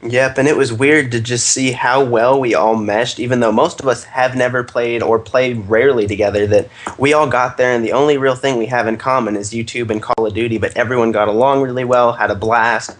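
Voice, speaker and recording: A man's voice: mid-range voice, steady tone, a little fast, hardly any pauses. A man guesting on a gaming podcast, recounting things casually and fluently with few fillers. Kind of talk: casual storytelling.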